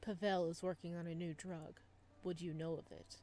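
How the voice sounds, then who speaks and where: A woman voicing a calm, stern interrogator in a visual novel, curt and probing. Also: fairly low pitch, moderate pace, a little hiss or hum, close to an everyday voice. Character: calm, stern, cold-eyed interrogator visiting a prisoner.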